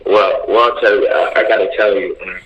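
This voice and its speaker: A man's voice: low-pitched, steady tone, a little fast, fairly bright tone. A man in his thirties from Haiti with a Haitian accent, calling in and talking hesitantly with many fillers and restarts. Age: 30s. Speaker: caller. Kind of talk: casual phone call. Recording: phone line.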